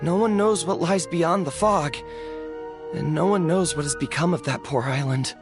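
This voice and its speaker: A man's voice: fairly high pitch, moderate pace, lightly acted. A man playing an earnest, deferential young citizen of a fantasy city in a video game. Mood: alarmed. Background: music.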